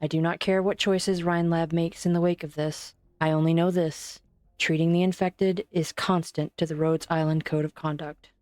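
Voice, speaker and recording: A woman voicing a composed, low-voiced doctor. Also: little pitch movement, moderate pace, a little mellow, no room sound, clean studio sound, lightly acted. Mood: steady. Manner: composed, polite and firm. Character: calm, formal female doctor. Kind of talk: amateur fan dub acting.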